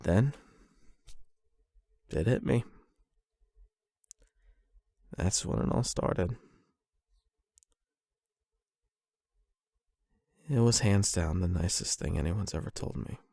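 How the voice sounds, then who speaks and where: A male performer plays a bashful young man opening up to his online friend in an intimate audio roleplay. His voice sits low with a crackly fry, and he talks hesitantly, circling his point nervously. The sound is dry and close. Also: expressive, measured pace, warm tone, no room sound, heavily acted. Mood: tender, earnest. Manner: hesitant, rambling, nervous chuckles. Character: shy, flustered young man confessing love to online friend. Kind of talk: ASMR boyfriend roleplay, intimate monologue.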